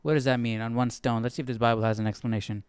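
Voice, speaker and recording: A man with a smooth, mid-to-low voice hosts a casual study stream. He reads bits aloud and puzzles over them out loud, recorded close and dry. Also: little pitch movement, rapid-fire, no echo. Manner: thinking aloud, self-questioning. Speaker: live stream Bible study host. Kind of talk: casual study commentary, reading aloud and reacting.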